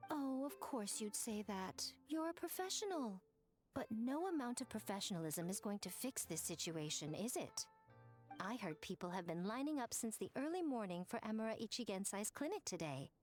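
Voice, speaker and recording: An actress voicing a sly, playful and teasing elegant priestess in a game scene, over faint music. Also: female voice, mid-range voice, expressive, normal speed, darkish tone, lightly acted. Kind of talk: game voice acting.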